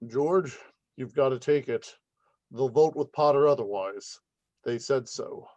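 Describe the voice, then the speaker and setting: An actor playing an earnest small-town board member in a 1940s radio play. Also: male voice, mid-range voice, unhurried, darkish tone, no echo, lightly acted. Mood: earnest, urgent.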